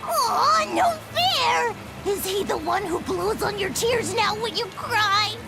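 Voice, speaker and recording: A little creature servant from a video game with an extremely high, childlike voice. It is earnest, clingy and melodramatic, drawing its words out slowly. Prominent game music plays behind it. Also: female voice, lively intonation, vocal fry, full character voice. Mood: pouting. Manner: gushing, theatrical.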